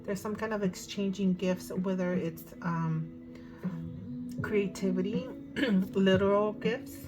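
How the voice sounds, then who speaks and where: A woman with a hoarse voice giving a casual tarot card reading, thinking aloud as she goes. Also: medium pitch, steady tone, slow pace, poor audio. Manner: chatty, thinking aloud with fillers. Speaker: tarot reader. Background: music.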